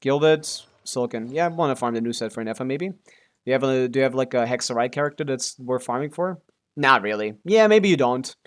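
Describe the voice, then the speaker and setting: A man's voice: medium pitch, expressive, brisk, clean tone. A man giving casual live gameplay commentary as a streamer, offhand and sweary, full of gamer slang. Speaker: game streamer.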